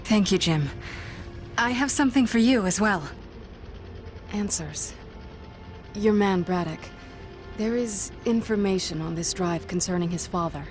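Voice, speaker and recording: A woman playing a composed, enigmatic explorer in a game cutscene, speaking in a measured, formal way. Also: mid-range voice, faint music behind, close to an everyday voice. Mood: composed, cryptic. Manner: measured, deliberate, formal phrasing. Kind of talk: dramatic game cutscene dialogue.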